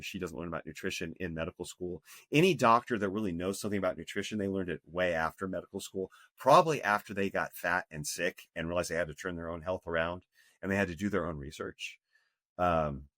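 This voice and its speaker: A man's voice: sits low, a bit bright, no echo, studio quality. A man in his fifties, a health coach speaking earnestly and emphatically as a podcast guest, with frequent restarts. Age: 50s. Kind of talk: podcast interview.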